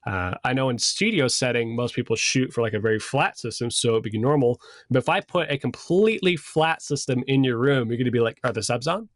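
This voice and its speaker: A man's voice: medium pitch, brisk, dry recording, studio quality. A man in his thirties giving a casual, conversational lesson as an instructor, talking through slides. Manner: conversational, informal. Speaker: sound system design instructor.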